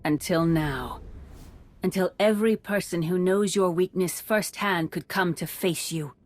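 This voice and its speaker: An actress playing a wise, defiant Jedi master in a video game, composed and measured. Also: female voice, on the low side. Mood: resolute. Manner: measured, assured. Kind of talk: dramatic video game acting.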